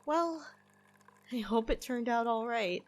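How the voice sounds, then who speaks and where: Wry, slow-speaking stressed barista. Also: female voice, fairly high pitch, animated. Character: stressed, stubborn half-human young-looking woman barista, grieving, wry. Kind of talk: audio drama dialogue.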